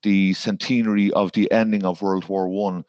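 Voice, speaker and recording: A man's voice: low voice, flat delivery, moderate pace, darkish tone, dry recording, dull audio. An Irish man, a garden designer, talking in a podcast interview and rambling with fillers and restarts. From Ireland.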